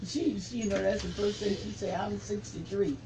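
A man in his seventies, a reverend, recalling the past in an interview with a preaching cadence that rises to forceful emphasis. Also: high voice, fairly even intonation, moderate pace, noisy background. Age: 70s. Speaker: reverend, civil rights veteran. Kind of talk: interview reminiscence.

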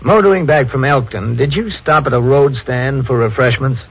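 A brisk police detective. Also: male voice, mid-range voice, husky. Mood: businesslike. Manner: brisk, matter-of-fact. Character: gruff, weary police detective. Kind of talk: 1940s radio drama acting. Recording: lo-fi old radio recording with faint hiss.

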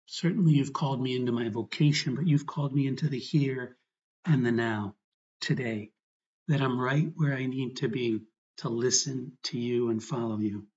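A man's voice: medium pitch, darkish tone. Dull-sounding recording of a reverent man praying. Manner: reverent, earnest, unhurried phrases with pauses. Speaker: priest guiding meditation. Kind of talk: guided prayer, spoken meditation and gospel reading.

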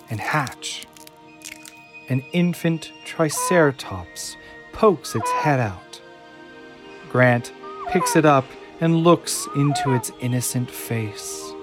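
A man reading scripted narration for an audio drama in a measured, dramatic way. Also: medium pitch.

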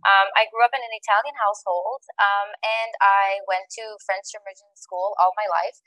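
A woman's voice: medium pitch, normal speed, low-quality recording. A young woman from as a guest on an interview podcast. She answers eagerly, with plenty of ums and repeated starts. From Montreal, Canada. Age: young adult. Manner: warm, animated, many fillers. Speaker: primary school ESL teacher, guest.

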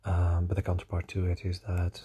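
A tired young man with a slightly hoarse voice, rambling hesitantly through a casual diary vlog with many fillers and restarts. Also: low-pitched, monotone, normal speed, dark tone. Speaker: vlogger.

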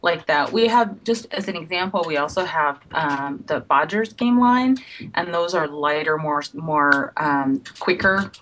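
A woman's voice: deep voice, animated, measured pace, fairly bright tone. A middle-aged woman, a game company editor, answering interview questions in a chatty way, restarting phrases and laughing often. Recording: dull lo-fi recording with faint noise.

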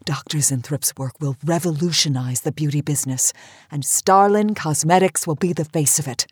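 A woman playing an imperious, secretive company boss in a campy audio drama, husky and grandly commanding. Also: deep voice, normal speed, no echo, studio quality. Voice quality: husky, breathy.

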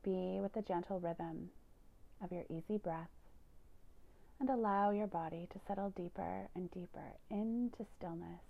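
Creaky-voiced woman guiding a meditation. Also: lowish voice, dull audio. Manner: gentle, soothing, unhurried instruction with breathy phrase endings. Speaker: yoga nidra teacher. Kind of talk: guided meditation narration.

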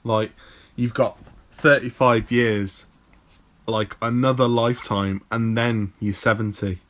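Casual male podcast host, mid-pitched voice. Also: steady tone, slow, a bit bright. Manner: encouraging, says "yeah" and "like" often. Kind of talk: casual interview conversation.

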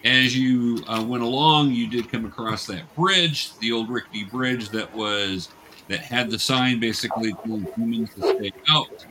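A man's voice: medium pitch, normal speed. A man runs a tabletop game in a casual, instructive manner. He narrates scenes and patiently guides new players with encouragement. The recording carries light background noise. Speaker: game master teaching young players.

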